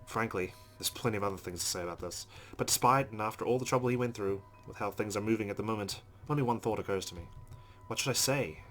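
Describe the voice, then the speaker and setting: A man's voice: on the low side, fast, fairly bright tone, faint music behind. A man playing a visual novel, reading its narration aloud and dropping in casual asides as a let's player. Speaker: let's play gamer.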